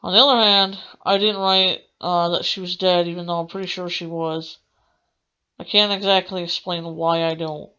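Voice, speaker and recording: A woman's voice: on the low side, steady tone, moderate pace, pushed and bright, clean tone, lo-fi sound. A woman hosting a gaming let's play, reading aloud in a dramatic manner with the odd stumble. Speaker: gaming channel host.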